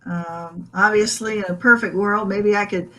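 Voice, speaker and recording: A woman's voice: medium pitch, steady tone, bright, forceful tone, rough recording. A woman musician in a casual interview, talking about recording and laughing easily at jokes. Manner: laughs often, quick to joke. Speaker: musician guest.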